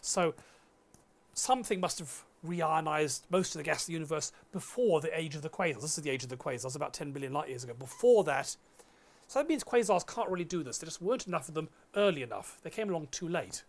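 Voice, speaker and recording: A man's voice: fairly high pitch, animated, fast. A middle-aged man lecturing on science in a conversational way, explaining step by step. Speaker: astronomy lecturer. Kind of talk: conversational science lecture in a two-person dialogue.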